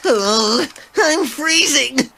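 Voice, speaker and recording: An actor voicing a sarcastic, reluctant, grumbling male bear in a children's cartoon, with a gravelly voice. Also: high-pitched, animated, very slow, heavy background noise, heavily acted. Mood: grumbling, anxious. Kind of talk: animated children's cartoon acting. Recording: cartoon soundtrack with loud music.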